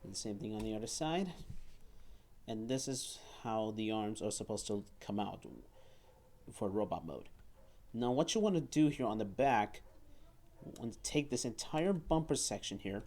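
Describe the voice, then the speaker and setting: A man reviewing and demonstrating a toy at a table indoors, talking haltingly and often repeating words and phrases. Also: fairly low pitch, lively intonation, darkish tone.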